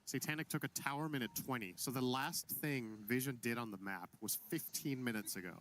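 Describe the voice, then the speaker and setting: A man giving color commentary on a live esports match, analyzing the game in a measured way and thinking out loud. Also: mid-range voice, slight background noise.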